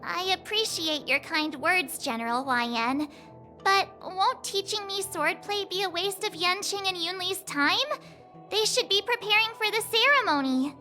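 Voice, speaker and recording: An excitable, talkative young girl who is easily flustered. Her voice is very high and bright. Faint music plays behind her. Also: unhurried, heavily acted. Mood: polite.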